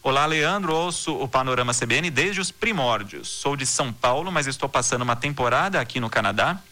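A man from Brazil reads the news as a podcast host, with a very bright, forward tone and a steady, measured cadence. Soft music sits low underneath him. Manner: steady, measured newsreader delivery. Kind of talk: scripted news reading.

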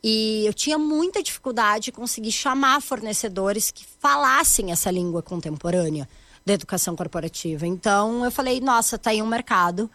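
A woman in her thirties from southern Brazil speaks with a Gaúcho accent, lively and emphatic, often tagging her points with little questions. Her voice sits at a middle pitch and the recording is close and dry, with no room sound. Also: fairly quick, dry recording. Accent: Gaúcho Brazilian Portuguese. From Rio Grande do Sul, Brazil. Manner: animated, emphatic, uses many tag questions. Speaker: guest, corporate education founder. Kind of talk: conversational interview.

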